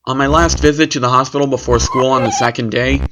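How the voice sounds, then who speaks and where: A man narrates a scripted horror story in the first person at a medium pitch. The recording has clear background noise and clicks. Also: steady tone, quick pace.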